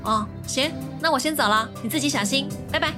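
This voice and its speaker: An actress playing a chatty, excitable young woman in an audio drama, bubbly and informal. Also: high voice, brisk, over music, natural voice. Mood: flustered, casual. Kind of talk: Mandarin audio drama acting.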